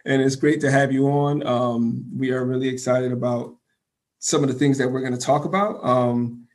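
A man's voice: mid-range voice, fairly even intonation, normal speed, a little mellow. A man hosting a school talk show, introducing and interviewing a guest with upbeat enthusiasm. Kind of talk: casual interview.

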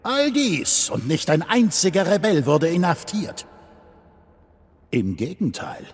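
An actor playing a stern, authoritarian Lord Chancellor with formal, accusatory delivery in an audio drama. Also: male voice, fairly high pitch, very animated, slow pace, husky, exaggerated voice. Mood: cold accusation. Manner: formal, accusatory, imperious declamation. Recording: long hall reverb.

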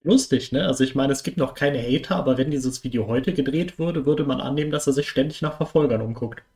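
A man's voice: mid-range voice, fast, a little mellow. Guy making fun of a clip with his friends, ordinary mid voice. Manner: mocking, teasing commentary. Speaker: commentator reacting to a video. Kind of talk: casual chat.

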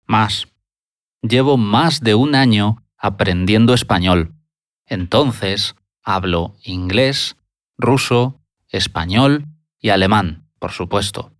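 A man speaking in a language lesson, answering questions by repeating them in full, deliberate sentences. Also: fairly low pitch, no echo, studio quality. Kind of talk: slow, deliberate lesson dialogue.

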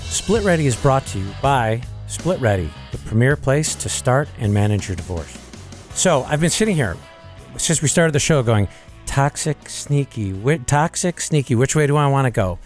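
A man's voice: medium pitch, expressive, moderate pace, faint music behind. A man hosting a podcast, asking casual, rambling questions full of restarts. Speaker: podcast host.

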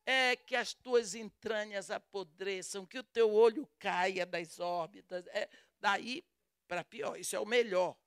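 A woman's voice: medium pitch, measured pace, clear, resonant tone, no room sound, studio quality. A woman with a Brazilian Portuguese accent preaching a sermon, retelling a story with dramatic voices for the quoted lines.

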